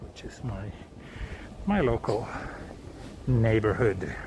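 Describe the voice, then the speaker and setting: A man from southern Sweden chatting casually with viewers during a live walk, relaxed, with many ums and restarts. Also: lowish voice, slow. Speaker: live-streaming walk vlogger. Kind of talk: casual live-stream chat answering viewers. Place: outdoors on city streets at night. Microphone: handheld phone camera. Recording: street noise behind the voice. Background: traffic and street ambience.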